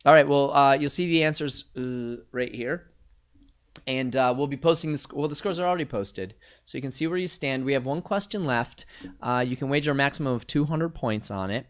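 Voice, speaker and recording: A man's voice: mid-range voice, a little fast. A man hosting a live quiz night, reading out questions and answers with joking asides. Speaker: trivia quiz host.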